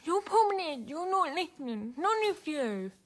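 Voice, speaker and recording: A young woman acting in a radio drama as a frightened, insistent teenage girl, speaking haltingly. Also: very high-pitched, very animated. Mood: insistent, upset.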